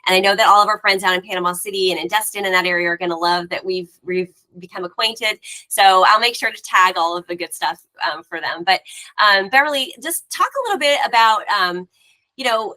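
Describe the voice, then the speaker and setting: A woman's voice: mid-range voice, a little fast, bright, forceful tone, poor audio. A woman hosting a podcast interview, effusive and chatty, with frequent fillers. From Florida Panhandle. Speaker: podcast host. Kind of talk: casual interview chat.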